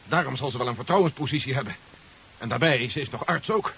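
An actor playing a steady, consoling male comrade with a husky voice in a 1970s radio drama, matter-of-fact. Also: medium pitch, fast, a little hiss or hum. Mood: grim, consoling. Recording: dull, muffled 1970s radio recording.

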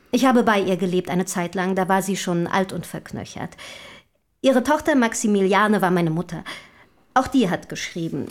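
A woman's voice: mid-range voice, lightly acted. An actress playing an eager, self-assured young noblewoman writer of the early 1800s in a radio play, with formal, inquisitive diction. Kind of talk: German radio play acting.

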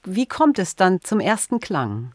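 A woman's voice: on the low side, very animated, a little slow, no room sound. A woman working as an interviewer, putting prepared questions in a conversational style.

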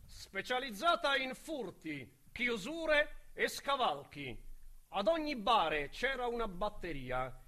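Cocky roman crook, high bright voice, trash-talking radio play lead, faint music behind. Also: male voice, sing-song, slow, heavily acted. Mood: boastful. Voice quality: coarse street slang, swaggering delivery. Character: brash Roman street criminal, boastful, foul-mouthed. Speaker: narrator and lead character. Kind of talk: radio drama monologue.

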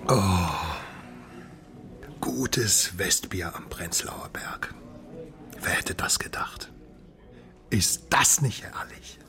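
A man's voice: deep voice, very slow, creaky, long pauses, whispered, exaggerated voice. A man acting in a radio drama as a philosophizing, optimistic drinker talking expansively to his friend. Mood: amused, content.

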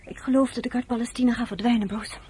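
A caring, hesitant woman, played by an actress in a radio play. Her voice sits fairly high and sounds earnest and reflective. The old recording carries a little noise. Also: steady tone, fast talker, dark tone, close to an everyday voice. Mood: troubled, earnest. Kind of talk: Dutch radio play acting.

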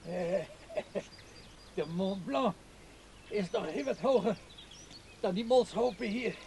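An actor playing a mild older man in a radio play, out of breath and halting as he talks. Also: high voice, expressive, vocal fry, mellow, slight background noise, full character voice. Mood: breathless, halting. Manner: halting, repeats words between breaths. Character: winded, mild older man out walking uphill.

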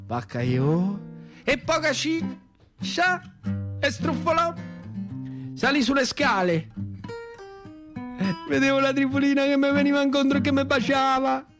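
A man with a central Italian accent performing a theatrical narrative monologue, declamatory and insistent in his repetitions. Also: high voice. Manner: impassioned, declamatory, repeats phrases insistently. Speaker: actor and storyteller.

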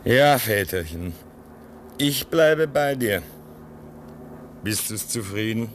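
Earnest, comforting man at a remote outpost: radio play, unhurried, widely varied intonation. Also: on the low side, lively intonation, slight background noise. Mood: subdued, earnest. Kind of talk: theatrical German radio play acting.

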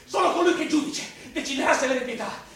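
Wretched drunkard, radio drama, rambling and self-abasing, very reverberant, far from mic. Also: male voice, extremely high pitch, fast talker, noisy background. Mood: anguished. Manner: pleading, rambling, overwrought, repeating himself. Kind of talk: theatrical radio drama monologue. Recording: very echoey, distant from the mic. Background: music.

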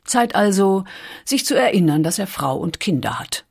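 A woman reading scripted documentary narration with a measured, dramatic storytelling delivery. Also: medium pitch, animated, gravelly, darkish tone, slightly rough.

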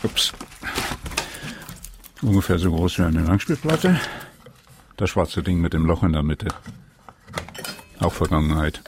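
Low-voiced man narrating a radio documentary. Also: background noise. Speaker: radio feature author and narrator.

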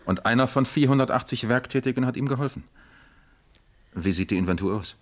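An actor playing a brisk, commanding East German police captain in a radio crime drama. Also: male voice, medium pitch, close to an everyday voice. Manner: commanding, matter-of-fact.

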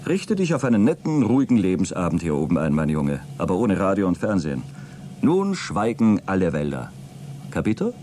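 A fatalistic astronaut in a radio play. The voice is deep and lively, rising and dropping with sardonic, taunting theatricality. Faint noise lies behind the speech. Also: male voice, brisk. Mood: mocking, bitter. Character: astronaut Albert, cynical, fatalistic, mocking space crewman. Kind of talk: German radio play acting.

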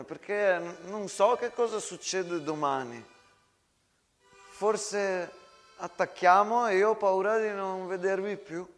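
A man acting a timid, lovesick young soldier on stage, earnest and halting. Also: sits high, voice pushed up. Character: timid, lovesick young Italian soldier dictating a love letter. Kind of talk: theatrical monologue acting. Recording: reverberant hall with music.

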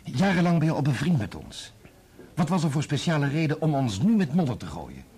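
An actor playing a wronged, bitterly sarcastic gentleman with a pointedly polite manner in a Dutch radio play. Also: fairly high pitch, very animated, fairly quick, breathy edge, exaggerated voice. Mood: bitter, accusing. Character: wronged, bitterly sarcastic gentleman defending his family's name.

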